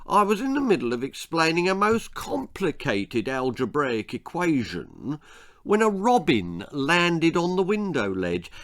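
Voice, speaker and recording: A man's voice: a little high, sing-song, measured pace, a bit bright, pitched above the performer's own voice, full character voice. A man voicing a pompous, vain, foolish middle-aged father in a children's story, self-important and blustering. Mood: exasperated ranting.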